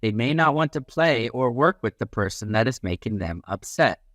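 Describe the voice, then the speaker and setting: Male teacher-narrator for kids' educational picture book: lively melody, instructive delivery, close dry sound. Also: medium pitch, normal speed, dry recording. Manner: animated, instructive, acts out little sounds and quotes. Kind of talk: expressive children's read-aloud.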